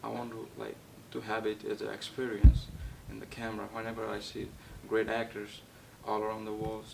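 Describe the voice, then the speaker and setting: A young man from Nepal, a guest actor, answering interview questions in a halting way with many fillers and restarts. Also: monotone, vocal fry. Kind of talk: casual interview. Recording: distant mic with faint rumble.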